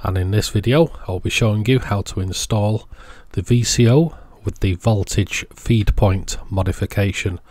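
A man's voice: lowish voice, normal speed, hardly any pauses, darkish tone, dry recording, clean studio sound. A man narrating a hands-on tutorial step by step in a plain, methodical way. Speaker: host of electronics repair tutorials.

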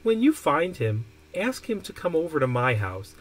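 Guy reading as a nice calm coach man from a kids book, patient and gentle, a bit puzzled. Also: fairly high pitch, normal speed, low-quality recording. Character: kindly, calm male baseball coach, puzzled but patient.

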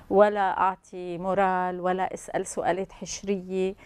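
A woman's voice: medium pitch, darkish tone, no echo. A woman in her fifties with a Lebanese accent, explaining as a guest expert in a conversational way with French terms mixed in. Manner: mixes French terms into Arabic. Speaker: guest expert, couples and family counsellor. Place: TV studio. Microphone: lapel mic.

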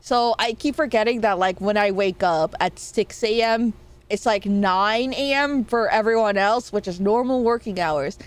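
A young woman streamer with a nasal voice, chatting casually and playfully with her viewers. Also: medium pitch. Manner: rambling, playful, quick laughs and swearing. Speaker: VTuber streamer.

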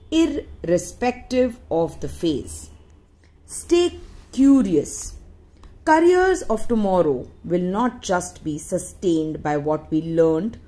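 A woman reading a newspaper article aloud in deliberate phrases with frequent pauses. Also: lowish voice, a little mellow. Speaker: audiobook reader.

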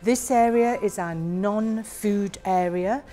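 A woman guiding a warehouse tour, explaining each area in a slow, plain way. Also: medium pitch, warm tone, soft background music. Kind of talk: guided tour narration.

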